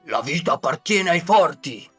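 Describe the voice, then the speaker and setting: A woman voicing a huge male gorilla in a bedtime story, in a low stern tone. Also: expressive, normal speed, fairly bright tone, breathy edge, soft background music, full character voice. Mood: menacing.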